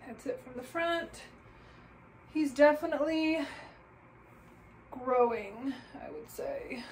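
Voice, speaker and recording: A woman's voice: fairly high pitch, a little hiss or hum, poor audio. A young woman with a congested, nasal voice, slightly out of breath, chatting casually as a vlog host. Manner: chatty, many "like" fillers. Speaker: crafting podcast host. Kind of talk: casual personal vlog chat.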